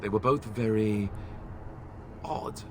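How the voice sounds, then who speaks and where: A man's voice: fairly low pitch, slow pace, background noise. A man playing an earnest young knightly companion in scripted fantasy game dialogue. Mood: matter-of-fact.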